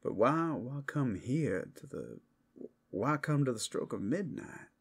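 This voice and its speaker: A man's voice: mid-range voice, sing-song, a little slow, vocal fry, husky, voice pushed up, heavily acted. A man playing an anxious, exasperated brothel worker, fretful and protesting, in a fantasy ASMR roleplay. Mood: alarmed, protesting.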